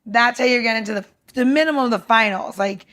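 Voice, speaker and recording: Woman, fairly high voice, informal and excitable, conversational podcast guest who quotes people mid-story. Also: quick pace. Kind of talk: casual chat.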